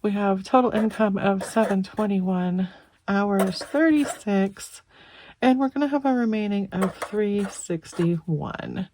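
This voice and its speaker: A woman's voice: mid-range voice, slow, darkish tone, slight background noise, low-quality recording. A woman in her thirties chatting casually while doing her weekly budget, tired and stuffy, rambling a bit as she works. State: stuffy and exhausted. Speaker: budgeting vlogger.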